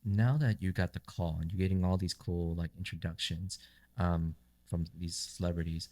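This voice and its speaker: Deep-voiced American-born podcast host. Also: male voice, moderate pace. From United States. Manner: relaxed questions, frequent "um" and "like". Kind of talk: casual interview chat.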